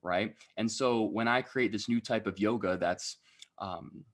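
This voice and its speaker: A young man speaks as a podcast guest in a slightly low voice. He talks through his story in an easygoing way and keeps checking in with his listener at the end of his sentences. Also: measured pace. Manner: relaxed explaining, frequent tag questions. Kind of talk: casual interview.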